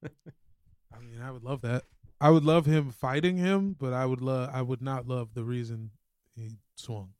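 A man's voice: mid-range voice, measured pace, a little mellow, smooth, dry recording, studio quality. An American man co-hosting a podcast with casual, chatty sports banter. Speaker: podcast co-host.

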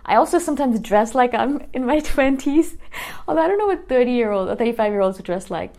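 A woman in her thirties speaks to her viewers in a casual monologue. Her voice sits fairly high. She is earnest, restarts often and keeps checking in with "right?". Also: lively intonation, a little fast, mellow. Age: 30s. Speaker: YouTuber.

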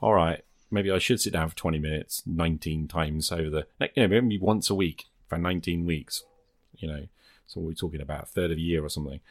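Deep-voiced man in casual, reflective discussion. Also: brisk, no echo, clean studio sound. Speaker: podcast host. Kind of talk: casual discussion of solo role-playing games.

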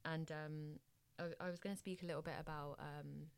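Host, woman from Bangladesh; very low pitch, hesitant casual phrasing. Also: fairly even intonation, normal speed, gravelly, clean tone. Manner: hesitant, frequent "like" and "um". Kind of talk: casual conversation.

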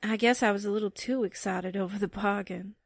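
A woman's voice: mid-range voice, steady tone, brisk, dry recording, clean studio sound, lightly acted. A woman playing a flighty, wisecracking newspaperwoman's sidekick in 1940s radio drama style, breezy and candid. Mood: sheepish, breezy.

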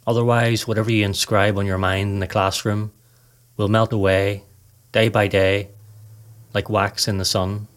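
Man, lower-pitched voice, very level intonation, calm, measured, guided meditation narration. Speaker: podcast host, meditation guide.

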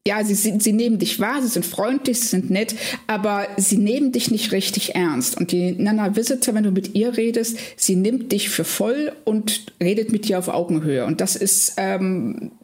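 A woman with a cold chats as a guest on a podcast. She speaks at a middle pitch, friendly and a little halting, with fillers and restarts in her talk. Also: darkish tone. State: has a cold. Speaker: author and translator, podcast guest. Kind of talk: casual podcast chat.

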